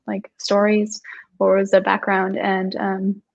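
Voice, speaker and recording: A woman's voice: medium pitch, monotone, takes it slowly, creaky, highs cut off. A young woman from Austria, a startup co-founder, chatting casually as an interview guest and filling her speech with "like". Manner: chatty, many "like" fillers, laughs often.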